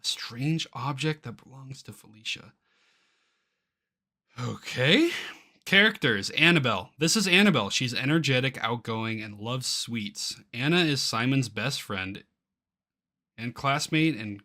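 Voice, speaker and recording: A man giving casual reaction commentary as he reads aloud from a web page, excitable and quick to exclaim in disbelief. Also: mid-range voice. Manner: excitable, easily incredulous, exclaiming. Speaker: YouTuber reacting to a game's crowdfunding page.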